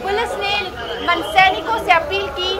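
Very high-pitched emphatic female news anchor. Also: fairly even intonation, unhurried. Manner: emphatic, repeating phrases for emphasis. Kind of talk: excited breaking news voice-over commentary. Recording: music and crowd noise behind voice. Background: loud music and crowd sounds.

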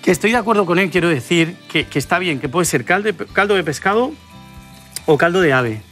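A lively man in his forties from Spain speaks with a fairly high voice. He hosts a cooking show, explaining each step as he cooks in a studio kitchen, with faint music underneath. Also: fairly quick. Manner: chatty, enthusiastic, explains as he cooks. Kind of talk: cooking demonstration.

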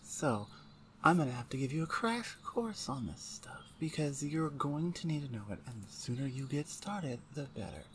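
A woman voicing a blunt, domineering orc shaman, an older sister, in a deliberate, condescending ASMR roleplay. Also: a bass voice, animated, slight background noise. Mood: exasperated, lecturing. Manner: deliberate, pointed, condescending, interrogating. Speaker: audio roleplay voice actor. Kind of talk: ASMR roleplay, one-sided dramatic dialogue.

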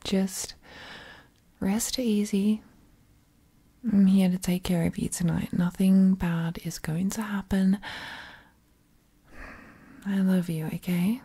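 Woman acting a breathy, very mellow witch girlfriend. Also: medium pitch, steady tone, slow pace, pauses often. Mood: soothing, gentle. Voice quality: soft, breathy, often whispering. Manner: tender, playful cooing. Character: affectionate, teasing witch girlfriend soothing her werewolf partner. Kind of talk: ASMR roleplay, intimate close-up acting.

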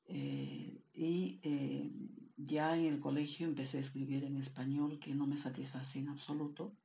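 A woman in her sixties from Andean Peru, a native Quechua speaker, speaks in a halting, solemn, measured way as a poet presenting her work. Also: low voice, expressive, gravelly, mellow, lo-fi sound, a little hiss or hum. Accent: Andean Peruvian. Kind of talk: introducing and reading own poetry. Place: small plain room indoors.

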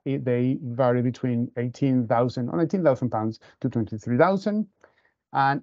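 A man's voice: medium pitch, creaky, dark tone, dry recording, lo-fi sound. A man from Venezuela with a Venezuelan Spanish accent, presenting his university over a video call with eager enthusiasm and frequent restarts. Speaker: university international officer.

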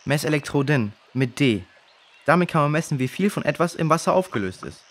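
A clever know-it-all boy in a children's radio play. His voice is on the high side and very animated as he precisely explains and corrects. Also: brisk. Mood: explaining. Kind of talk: children's audio drama acting.

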